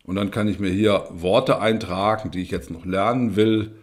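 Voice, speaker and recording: German guy walking through a spreadsheet tutorial, lowish voice, lots of "ne?". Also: steady tone, moderate pace. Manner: explanatory, frequent fillers and tag questions. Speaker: instructor. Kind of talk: screencast tutorial.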